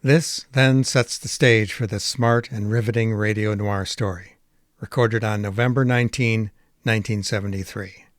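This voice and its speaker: A man's voice: on the low side, fairly even intonation, normal speed, no room sound, clean studio sound. A man reading a scripted introduction as a presenter, polished and informative. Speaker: presenter introducing an archive radio drama. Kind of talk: scripted narration.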